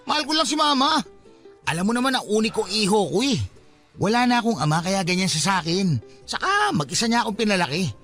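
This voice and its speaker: A cheeky young Filipino man in a radio love drama. His voice sits very high and swings widely, with a bright, forceful edge. He plays it as a smitten buddy and mama's boy. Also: sing-song, quick pace, faint music behind. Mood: teasing.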